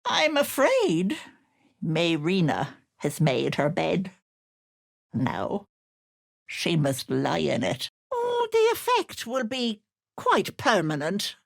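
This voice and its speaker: Wildly swooping, sly old auntie hag. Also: female voice, fairly low pitch, wildly swooping pitch, takes it slowly, vocal fry, exaggerated voice. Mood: sinister bargaining. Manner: cooing, wheedling endearments with sudden menace. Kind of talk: theatrical video game character acting.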